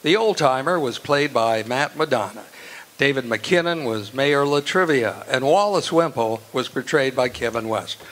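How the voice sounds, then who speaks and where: A man's voice: medium pitch, expressive, moderate pace, a bit bright. A man announcing in a scripted old-time radio style.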